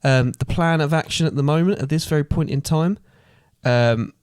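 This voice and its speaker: A man's voice: a little high, normal speed, darkish tone, clean tone, dry recording. Guy co-hosting a podcast, just chatting casually, really dry sound. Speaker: podcast co-host. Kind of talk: casual chat.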